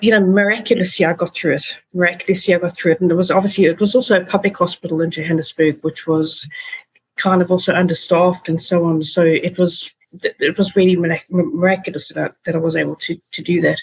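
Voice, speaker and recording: A South African woman speaks as an interview guest, her voice husky and set fairly low. She talks earnestly, pausing on fillers and restarting her sentences as she goes. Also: low-quality recording. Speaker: guest, patient advocate. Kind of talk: casual interview talk.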